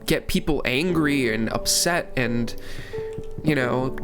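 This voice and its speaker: A man's voice: medium pitch, takes it slowly, over music. A young man in his twenties, congested from a cold, talking casually over a game and rambling with many restarts. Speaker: gaming channel host. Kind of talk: casual commentary while playing.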